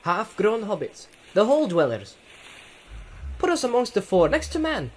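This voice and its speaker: A man's voice: high voice, huge pitch swings, normal speed, full character voice. A man voicing a small, young male halfling in an audiobook, inquisitive, friendly and chatty. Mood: eager. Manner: bright-eyed, inquisitive, open and chatty. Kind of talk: audiobook character acting.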